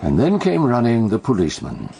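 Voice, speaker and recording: A brooding British teller of crime tales for radio drama. His voice is low and gravelly, with dramatic, atmospheric phrasing and an enigmatic air. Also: wildly swooping pitch, brisk, mellow, exaggerated voice. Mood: suspenseful. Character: brooding male storyteller recounting crimes, theatrical and enigmatic.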